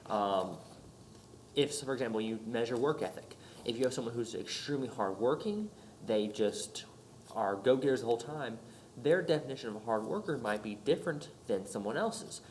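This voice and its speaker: A young man with a stuffed-up nose from a cold talks through a class presentation at a middle pitch. He rambles, full of ums and restarts, and a faint hiss sits behind him. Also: expressive, a little fast, poor audio. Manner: rambling, with many fillers and restarts. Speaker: student presenter. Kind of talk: informal recorded class presentation.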